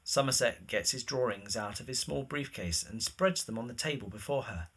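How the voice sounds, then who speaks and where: A man's voice: mid-range voice, moderate pace, a bit bright, breathy edge. A man narrating scripted stage directions for a drama.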